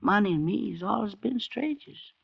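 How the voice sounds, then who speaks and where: An actor playing a good-natured freed slave in an old-time radio drama, with a folksy, meandering Southern drawl. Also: male voice, high voice, moderate pace, gravelly, husky, heavily acted. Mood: folksy, wistful. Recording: muffled old radio broadcast.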